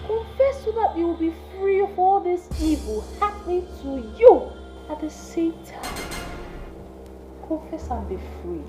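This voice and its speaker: A woman's voice: very high-pitched, animated, measured pace, mellow. An actress playing a spiteful young woman who mocks and threatens her own sister. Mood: mocking. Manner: mocking, sneering, threatening. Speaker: actress in a family drama. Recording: echoey room with music behind.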